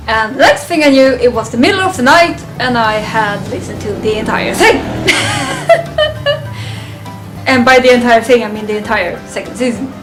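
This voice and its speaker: A woman giving a casual, unscripted fan review of a ranked list, halting and thinking aloud, often chuckling. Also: on the high side, music in the background.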